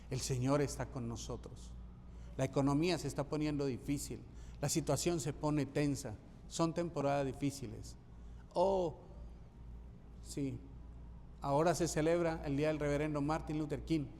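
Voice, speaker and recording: A man's voice: on the high side, mellow, big-room sound, slight background noise. A man from Colombia, preaching a sermon as pastor, emphatic and repeating key phrases for rhythm. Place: church sanctuary.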